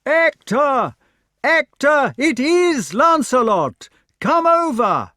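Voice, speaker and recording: A man voicing an eager, warm-hearted young knight who talks loudly and excitedly. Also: measured pace, breathy edge, no room sound, studio quality, heavily acted. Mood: shouting. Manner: loud, excited, pitched high.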